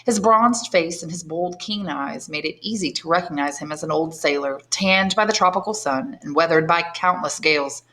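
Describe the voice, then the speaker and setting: English teacher lady reading a classic novel, lowish voice, steady and clear. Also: normal speed, few pauses. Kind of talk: audiobook narration.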